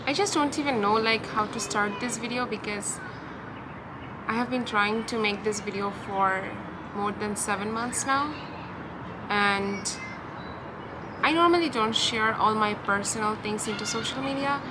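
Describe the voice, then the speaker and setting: A young woman speaks with a Bangladeshi accent at a middling pitch, confiding in a halting, personal way as if to viewers she trusts. She is recorded outside in a park, with clear ambient and vehicle noise around her voice. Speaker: vlogger. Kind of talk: personal talk-through to camera. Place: outdoors in a park. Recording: outdoor park noise, distant traffic.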